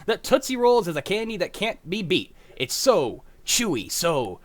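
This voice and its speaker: A man playing a wholesome, chipper pitchman in a 1940s-style radio commercial. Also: big pitch swings, moderate pace. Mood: chipper salesmanship.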